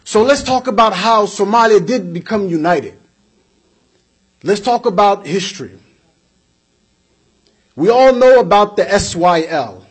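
A young man raised in the United States delivers a passionate political speech into a podium microphone. His voice sits high and carries a husky edge. He leans on repetition and rhetorical questions to press his argument. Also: low-quality recording. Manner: emphatic, repeats points for effect, gestures while speaking. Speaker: speaker at a community event. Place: indoor hall with flags behind. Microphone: podium microphone on a stand.

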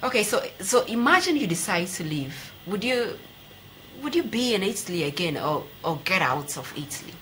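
A woman's voice: mid-range voice, sing-song, normal speed, fairly bright tone. A young woman, putting probing, persistent questions as a TV sports interviewer. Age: young adult. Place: indoor TV studio. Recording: noisy TV studio sound.

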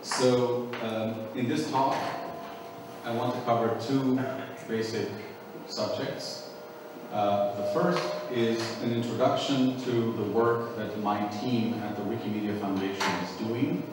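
A man in his forties with a fairly loud voice, giving a relaxed, conversational conference talk to a small group and filling pauses with ums and uhs. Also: medium pitch. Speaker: conference presenter. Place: small conference room with long tables. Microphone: far camera, speaking without a microphone. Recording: echoey small room from far off, noisy.

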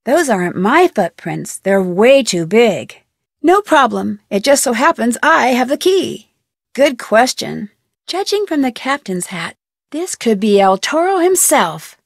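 A woman playing an upbeat, curious young female detective in a video game, youthful, perky and earnest, with clear diction. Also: fairly high pitch, very animated, a little slow, no echo, clean studio sound, heavily acted. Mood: musing, matter-of-fact. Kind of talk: scripted video game voice acting, thinking aloud.

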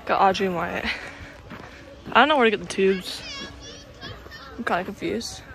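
A teenage girl filming a casual vlog on her phone, talking to viewers in a hushed morning voice and later out in the snow. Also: medium pitch, moderate pace, vocal fry, noisy background. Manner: whispery early-morning talk, bubbly and excited outdoors. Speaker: vlogger. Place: at home, then outdoors in snow. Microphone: handheld phone.

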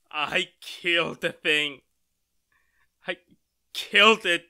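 A man's voice: on the high side, sharp tone, smooth, full character voice. An actor playing a terrified, desperate man fighting a monster, in melodramatic, anguished retro radio horror style. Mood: exhausted, grieving.

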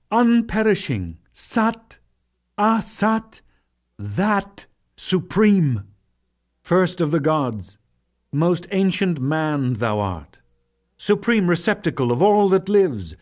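A man voicing an awestruck, reverent warrior in a dramatic scripture reading, fervent and pleading, with declamatory pauses. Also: high voice, voice pushed up, full character voice. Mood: awed.

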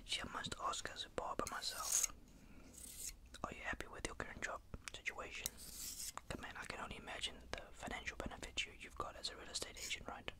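A breathy, unvoiced whisper in an ASMR barbershop roleplay, with a person playing a barber making casual, rambling small talk with a customer. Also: fast.

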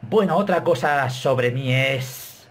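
A Spanish man in his thirties with a Castilian accent, talking to his webcam in a small bedroom, animated and self-mocking. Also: mid-range voice, takes it slowly, slight background noise. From Spain. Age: 30s. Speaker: YouTuber. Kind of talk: casual vlog to camera.

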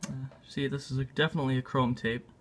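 A man narrating a casual hands-on tutorial in a halting, unscripted way, thinking aloud as he works. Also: mid-range voice, level tone, faint music behind. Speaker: video maker demonstrating a repair. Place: indoors at a tabletop.

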